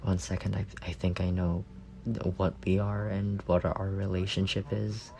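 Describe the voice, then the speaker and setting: A young man playing a shy, lovestruck roommate in an intimate ASMR roleplay, speaking tenderly and haltingly, often trailing into breathy murmurs. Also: low voice, little pitch movement, darkish tone, a little hiss or hum. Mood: hopeful, uncertain. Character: shy, lovestruck young roommate confessing softly to a sleeping friend.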